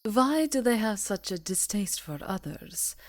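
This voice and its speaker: A woman's voice: mid-range voice, sing-song, measured pace, voice pushed up. A woman playing a regal, coolly civil vampire countess in an ASMR roleplay, with a formal, imperious delivery. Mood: cool, challenging.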